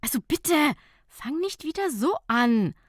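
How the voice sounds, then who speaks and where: A woman's voice: sits high, big pitch swings, measured pace, no echo, clean studio sound, voice pushed up. A woman voicing an embarrassed, mock-indignant woman who is sceptical about men, in an audiobook. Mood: amused protest. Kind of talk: audiobook character dialogue.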